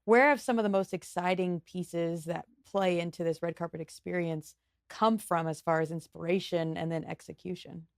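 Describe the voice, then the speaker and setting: A woman's voice: lowish voice, steady tone, moderate pace, no echo, clean studio sound. A woman hosting an interview podcast, asking questions in an upbeat, affirming way. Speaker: podcast host. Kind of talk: interview conversation.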